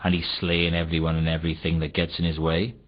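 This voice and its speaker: Surly, sarcastic game bartender. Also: male voice, low voice, completely flat delivery, fast. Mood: grudging. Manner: sarcastic, grudging. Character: surly, sarcastic male bartender in fantasy noir. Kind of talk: scripted video game dialogue. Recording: dull, lo-fi game audio.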